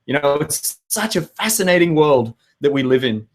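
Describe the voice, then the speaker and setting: An Australian man in his thirties with a hoarse voice, hosting a live web show and chatting with viewers in an upbeat, rambling way. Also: on the high side, animated, moderate pace. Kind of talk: live webinar chat to viewers.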